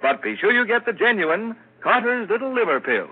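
A man announcing and pitching products in 1940s radio commercial style. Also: very animated, fairly quick, over loud music. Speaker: radio announcer reading adverts. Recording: muffled, tinny 1940s radio recording.

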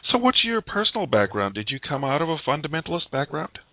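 A man's voice: a little high, big pitch swings, quick pace, no room sound. A man hosting a talk show, asking questions and telling his own story in a casual, chuckling way with many fillers. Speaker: show host. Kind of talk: casual interview chat. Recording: dry tinny phone-like line.

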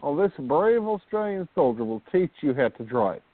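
An actor playing a friendly, boyish Australian soldier in a radio play, on a thin recording. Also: male voice, fairly high pitch, sing-song, normal speed, dry recording. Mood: friendly, proud. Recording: muffled, thin phone-like audio.

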